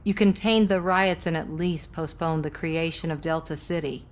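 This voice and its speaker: An actress plays a cynical, ambitious newswoman in a game cutscene. The voice is on the low side, with a sardonic, flippant delivery. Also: fairly even intonation, normal speed, close to an everyday voice. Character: cynical, ambitious female news reporter. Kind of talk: video game cutscene acting.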